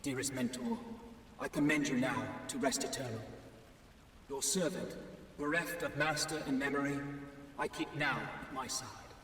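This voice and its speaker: A man voicing a grieving male warrior sworn to vengeance, speaking gravely and mournfully in a game. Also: medium pitch, expressive, normal speed, a bit bright, close to an everyday voice. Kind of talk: game voice acting. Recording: echoey hall from far off, faint noise.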